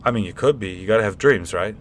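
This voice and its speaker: A lowish male voice over faint rumbling noise: an actor as a deadpan, rambling fellow in comic radio theatre. He explains things haltingly and matter-of-factly, with casual self-importance. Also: close to an everyday voice. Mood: deadpan, lecturing.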